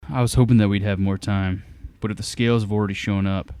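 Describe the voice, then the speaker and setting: An actor playing a determined, clever inventor hero in an audio drama, explaining plans in an earnest, matter-of-fact way. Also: male voice, lowish voice, fairly even intonation, fast talker, mellow, smooth, no room sound, studio quality, lightly acted. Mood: resolute, commanding. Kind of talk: scripted audio drama acting.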